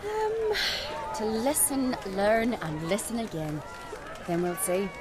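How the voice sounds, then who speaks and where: A woman's voice: a little high, wildly swooping pitch, slow, a crowd in the background, heavily acted. An actress playing an earnest, resolute young warrior queen in a video game, with a solemn way of talking. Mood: thoughtful. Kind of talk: game cutscene acting.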